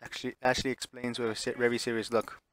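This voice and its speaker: A man's voice: medium pitch, flat delivery, fast talker, a bit bright. A man streaming a visual novel, chatting casually as he plays and reading the text aloud, sometimes stumbling and correcting himself.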